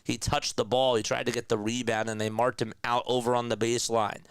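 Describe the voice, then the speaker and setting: Raspy-throated male basketball play-by-play caller. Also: medium pitch, little pitch movement, a little fast, no echo. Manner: conversational, reads and answers chat comments. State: raspy and nasal from a sore throat. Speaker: play-by-play commentator. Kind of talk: live basketball play-by-play commentary.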